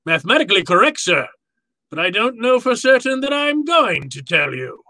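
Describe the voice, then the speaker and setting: An actor playing a jovial, courtly, calculating fat crime boss in a radio drama, speaking floridly and with relish. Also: male voice, high voice, sing-song, normal speed, bright, forceful tone, dry recording, exaggerated voice. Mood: smug. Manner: florid, pompous, relishing his words. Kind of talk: old-time radio drama acting.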